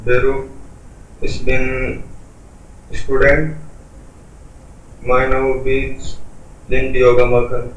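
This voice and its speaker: Monotone young man, clipped phrases. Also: medium pitch, drawn-out words, pauses often. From Jaipur, India. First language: Hindi. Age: 20s. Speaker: language student. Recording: echoey call audio with faint hiss.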